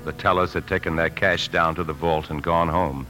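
A very deep, confiding American man's voice as an actor plays it tells of a bank theft from the inside. He is anxious and tightly wound, in classic 1950s radio drama style, with music on the recording. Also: fairly even intonation, normal speed, close to an everyday voice. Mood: tense narrating. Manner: tense, confiding. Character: anxious male bank officer secretly stealing a fortune. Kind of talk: 1950s radio drama acting with first-person narration.

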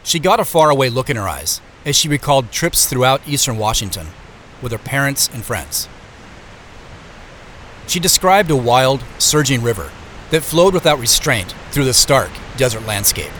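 An actor with an American accent playing a reflective man who narrates his road trip in a measured, literary audio drama style. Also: medium pitch, lively intonation, fairly bright tone, a little hiss or hum, natural voice, poor audio. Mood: reflective, wistful.

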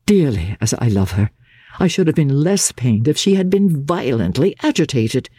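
A woman giving a formal, expressive and measured dramatic narration of a diary from a classic novel. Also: a bass voice, breathy edge, no room sound. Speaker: audiobook narrator.

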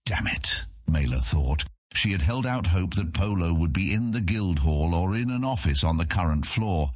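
A man's voice: low voice, animated, normal speed, no echo, clean studio sound. A man reading a fantasy audiobook as its scripted narrator.

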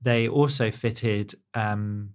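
A man's voice: lowish voice, fairly even intonation, drawn-out words. Chatty man rambling about bike tech. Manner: rambling, self-deprecating, many fillers. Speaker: technical editor, co-host. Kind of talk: casual technical chat.

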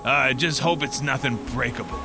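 A man's voice: mid-range voice, moderate pace, lightly acted. A man playing a cocky, clueless slacker in a comedic audio drama, rambling breezily and easily exasperated. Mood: casual, scheming. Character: cocky, clueless, slangy slacker dude contestant. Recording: loud music bed under voice.

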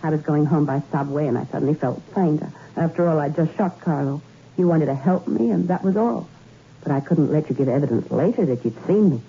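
An actress playing a cool, scheming femme fatale in a 1960s radio suspense drama, languid and matter-of-fact. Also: female voice, low voice, fairly quick, husky, heavily acted. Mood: cold, confessional. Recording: thin phone-like old radio recording.

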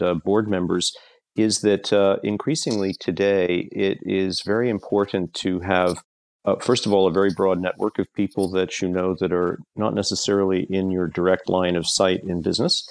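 Deep gravelly-voiced man, measured. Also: dry recording. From Europe. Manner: measured, frequent "uh" fillers. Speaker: leadership consultant, guest. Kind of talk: podcast interview answers.

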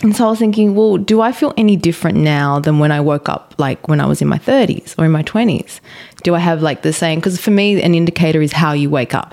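A woman in her early forties co-hosting a podcast, chatting in a thoughtful way with frequent pauses and fillers. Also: low-pitched, darkish tone. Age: 40s. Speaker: podcast co-host. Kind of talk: casual chat.

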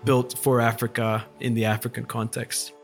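A man in his forties from Ethiopia, a company CEO appearing as a guest, telling his story in a relaxed, reflective way with occasional fillers. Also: medium pitch, normal speed, faint music behind. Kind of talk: conversational storytelling.

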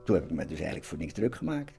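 A man's voice: extremely low pitch, big pitch swings, rapid-fire, gravelly, music in the background. A man reflecting on a personal memory in conversational speech.

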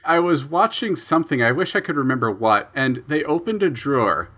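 Upbeat male guest, slightly high, very animated delivery. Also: brisk. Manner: lively, laughs between phrases. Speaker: podcast guest, sound designer. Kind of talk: casual chat.

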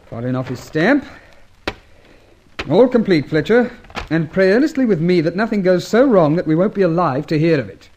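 An actor playing a wary, harried British government official in a vintage radio drama, clipped and formal with an exasperated edge. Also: male voice, a little high, darkish tone. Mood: anxious. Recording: noisy old radio recording with clicks.

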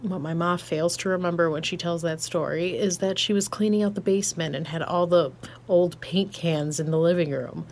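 A woman's voice: fairly low pitch, fairly even intonation, moderate pace, darkish tone, a little hiss or hum, close to an everyday voice. A woman playing a laid-back, blunt young hardware tech in an audio drama, casual and slangy with dry humor. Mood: reflective, easygoing. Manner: casual, slangy, dryly joking. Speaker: voice actor. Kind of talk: naturalistic audio drama dialogue.

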